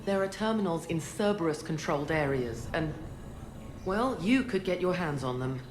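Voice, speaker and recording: A woman's voice: sits low, lively intonation, normal speed. An actress playing a businesslike female command-center technician in a sci-fi game, polite and matter-of-fact. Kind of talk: cinematic video game acting. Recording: echoey room.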